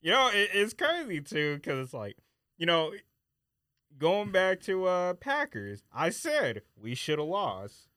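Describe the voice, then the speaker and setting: A man's voice: high voice, huge pitch swings, measured pace, bright, forceful tone, no room sound, studio quality. A man co-hosting a podcast, chatting casually and giving opinions with emphatic, slangy ranting. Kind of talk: casual opinionated sports chat.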